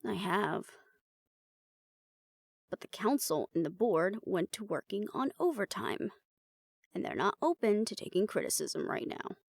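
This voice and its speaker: Woman acting weary, uptight woman with creaky voice. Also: mid-range voice, measured pace, pauses often, dry recording. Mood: matter-of-fact. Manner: dry, deadpan, exasperated. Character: uptight, weary, bespectacled woman in a supernatural research team. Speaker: voice actor in an audio roleplay. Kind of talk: fantasy audio drama roleplay, conversational acting.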